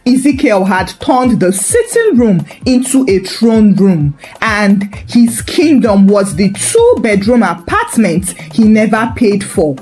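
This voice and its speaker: A woman's voice: mid-range voice, very animated, slow pace, hardly any pauses, a little mellow, soft background music. A woman narrating a scripted story with wry, dramatic emphasis.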